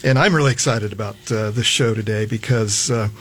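A man's voice: lowish voice, a little fast, fairly bright tone. A man hosting a radio talk show in a studio, chatting casually with lots of "uh" fillers.

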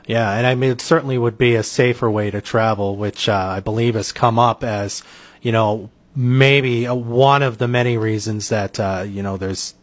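A man's voice: on the low side, steady tone, moderate pace. A man hosting a local radio interview show, asking questions in a hesitant way with many filler sounds. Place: radio studio.

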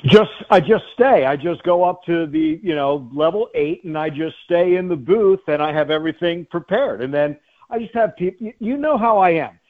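A man's voice: fairly high pitch, expressive, normal speed. An American man, a play-by-play announcer, chatting casually as a radio guest over a guest line. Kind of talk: casual joking interview chat. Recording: slightly dull lo-fi guest line.

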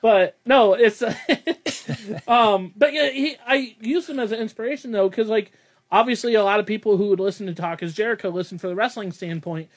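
A man's voice: high voice, normal speed. A young man hosting a casual podcast, rambling and self-deprecating, laughing often. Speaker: podcast host.

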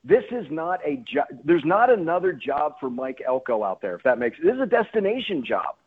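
A man's voice: a little high, sing-song, fairly quick, breathy edge, phone-quality sound. A male sports announcer speaking as a guest on a radio talk show, emphatic and often repeating and rephrasing himself.

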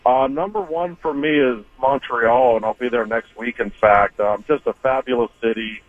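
A man talking hockey as a radio guest, chatty and relaxed, with lots of fillers. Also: medium pitch, normal speed, gravelly, no echo. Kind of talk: casual radio interview by phone. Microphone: phone. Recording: tinny phone line.

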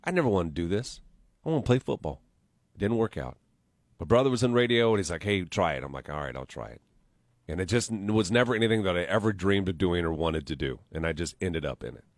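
Lively low-voiced male radio host. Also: fast, dry recording, clean studio sound. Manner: joking, storytelling, trails off quietly. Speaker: radio morning show host. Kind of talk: casual on-air banter. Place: radio studio. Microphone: studio microphone.

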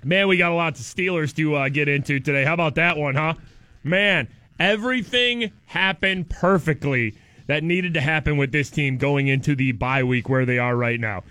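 A high, bright male voice with a sore, scratchy throat, talking fast and excitedly as a morning radio co-host. He jokes and teases in loose, sarcastic banter, and keeps going while his voice gives out. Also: no room sound.

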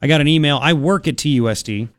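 Higher-voiced Long Island man on talk radio. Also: quick pace, no room sound, clean studio sound. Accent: Long Island New York. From Long Island, New York. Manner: emphatic, colloquial, repeats phrases for effect. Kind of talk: opinionated political talk radio monologue.

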